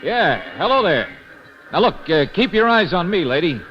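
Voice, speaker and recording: An actor playing a tough, sardonic private detective in a 1940s radio drama, with a clipped delivery. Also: male voice, high voice, sing-song, normal speed. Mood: urgent, coaxing. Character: tough, wry male private detective for hire. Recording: dull vintage radio recording, music behind.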